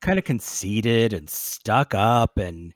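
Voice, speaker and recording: A man talking as a guest in a casual podcast interview, animated and halting, often starting a phrase over. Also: fairly low pitch, dry recording.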